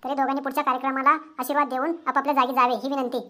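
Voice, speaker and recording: A woman voicing an eager young village character in a comedy cartoon, calling out excitedly. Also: sits high, very fast. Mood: announcing.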